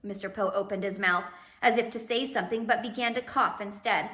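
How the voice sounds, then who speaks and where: A woman reading a children's novel aloud in an expressive, dramatic storytelling manner. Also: mid-range voice, a little fast, echoey room. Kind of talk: animated storybook read-aloud.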